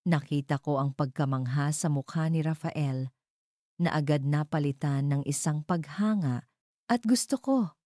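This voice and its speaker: Dark-voiced Filipino woman narrating drama. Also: low voice, no room sound, clean studio sound. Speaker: story narrator. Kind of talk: dramatic scripted first-person narration.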